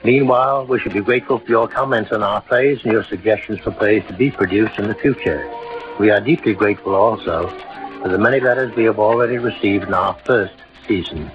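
A man, a courtly, measured 1940s radio theatre host speaking formally. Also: fairly low pitch. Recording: muffled vintage radio recording, music behind.

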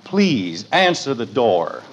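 A man's voice: mid-range voice, big pitch swings, takes it slowly, dull audio. An actor playing an exasperated, sarcastic middle-aged family father in a mid-century radio comedy, grumbling drily. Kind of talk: 1940s-50s radio sitcom acting. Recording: old radio recording with noise.

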